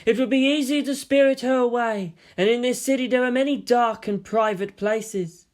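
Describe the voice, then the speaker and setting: Man voicing a high, sly female phantom. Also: moderate pace. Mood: sly, coaxing.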